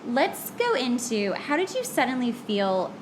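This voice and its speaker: A woman's voice: fairly high pitch, big pitch swings, unhurried. A woman with a Californian accent chatting casually and playfully as a podcast host. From California, United States. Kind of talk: casual chat with a guest. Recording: noticeable background noise.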